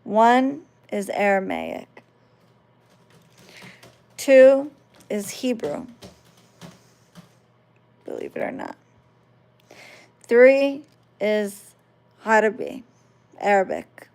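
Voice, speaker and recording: A woman's voice: mid-range voice, wildly swooping pitch, extremely slow, creaky, long pauses, a little mellow. A woman from the United States, casually talking to her viewers and often checking that they follow along. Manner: conversational, checks listeners understand. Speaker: spiritual channel host.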